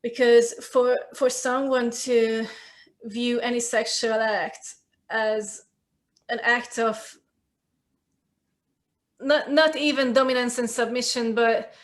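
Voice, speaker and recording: A woman speaks as a guest in a casual conversation, her voice sitting somewhat high. She is articulate and emphatic and works through her points aloud. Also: steady tone, slow, pauses often. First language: Hungarian.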